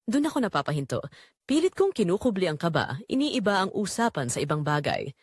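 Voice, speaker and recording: A woman's voice: on the low side, big pitch swings, fairly quick, breathy edge, no echo, clean studio sound. A Filipino woman playing a guilt-ridden mother who confesses a family secret in an earnest, emotional, reflective audio drama narration. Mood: uneasy, guilty.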